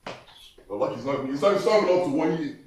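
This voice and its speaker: A man's voice: on the high side, expressive, a little fast, roomy sound, natural voice. An actor playing an angry, domineering, wealthy male employer with a Nigerian accent, blustering and repeating himself insistently in a film drama. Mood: stern, bossy.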